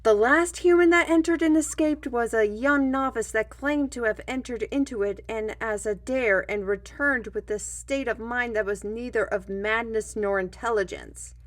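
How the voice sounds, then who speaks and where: A woman's voice: a little high, moderate pace, hardly any pauses, natural voice. A woman playing a weary, wry artist in a fantasy audio drama, musing and conversational as she tells tales and explains plans. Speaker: voice actor in a fantasy audio roleplay. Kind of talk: in-character storytelling and dialogue in audio drama.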